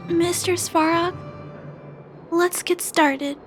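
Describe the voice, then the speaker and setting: Sweet caring little girl for a game, really high voice, slow and coaxing, soft music behind. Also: fairly even intonation. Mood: gentle, earnest. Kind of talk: video game voice acting. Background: faint background music.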